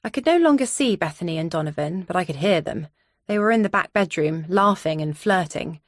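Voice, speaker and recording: A woman's voice: fairly low pitch, animated, fairly quick, dry recording, studio quality. A woman reading scripted first-person thriller narration as an audiobook narrator.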